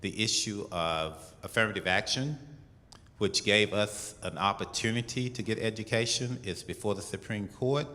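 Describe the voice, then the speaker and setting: A man with a fairly low voice addresses a congregation in an earnest, informal way. He halts and repeats words, and his voice carries the echo of a hall. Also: bright, forceful tone. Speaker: program leader at a church event. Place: large hall at a church program. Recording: echoey hall.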